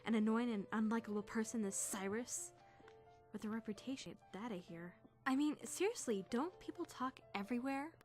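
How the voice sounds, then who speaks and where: Expressive high-voiced teenage girl, acted by a woman, over music. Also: moderate pace, natural voice. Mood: exasperated. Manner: expressive, sarcastic, stammering when nervous. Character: flustered, sarcastic teenage girl trainee narrating her thoughts. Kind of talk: first-person visual novel narration and dialogue.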